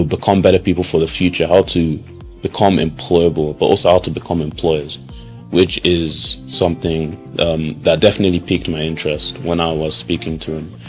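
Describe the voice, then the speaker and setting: A man's voice: deep voice, darkish tone. A young man, answering interview questions loosely, with many fillers and restarts. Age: young adult. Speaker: student.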